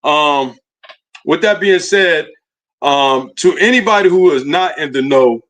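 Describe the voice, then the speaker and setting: A man's voice: a little high, animated, a little slow, a bit bright, low-quality recording. A man with speech hosting a livestream, asking rambling questions with many fillers. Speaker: livestream host. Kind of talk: casual interview questions.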